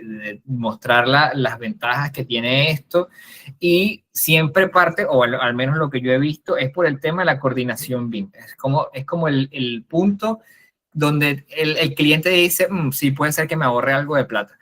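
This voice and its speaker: Man, guest architect, class talk, conversational, loose explanations, restarts and asides, middle pitch. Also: sharp tone. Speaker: guest architect and BIM coordinator.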